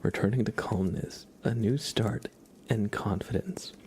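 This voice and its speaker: A man speaking in a soft, breathy half-whisper, gently and unhurriedly, as an ASMR livestream host close to the microphone. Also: on the low side, a little slow, a little hiss or hum.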